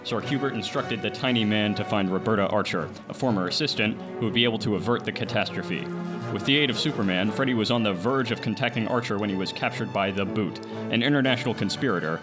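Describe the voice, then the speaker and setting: Low-voiced man narrating a radio serial over music. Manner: dramatic, declamatory delivery. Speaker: radio drama announcer and narrator. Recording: dramatic music behind the voice.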